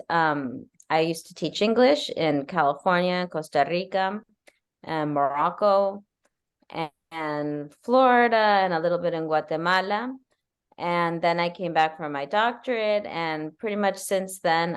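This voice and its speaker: A woman's voice: fairly low pitch, smooth. A middle-aged woman from Argentina and the United States, a professor talking informally and keenly about her work on a video call. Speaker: university professor. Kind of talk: conversational self-introduction on a webinar.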